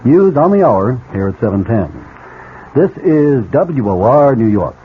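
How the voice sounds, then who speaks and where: Animated male radio announcer. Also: medium pitch, a little slow. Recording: tinny old radio, faint noise.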